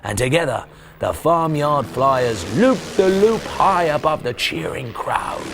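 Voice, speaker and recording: A man in his forties reading a children's bedtime story expressively, with dramatic, hushed emphasis. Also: on the high side, expressive, a little slow, a little hiss or hum. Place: small TV studio kitchen set, indoors. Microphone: lapel mic.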